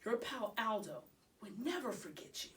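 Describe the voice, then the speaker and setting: A woman's voice: on the high side, sing-song, moderate pace, a bit bright, far from the mic. A woman playing a kindly, affectionate male janitor talking to a pet in a children's storybook. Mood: soothing.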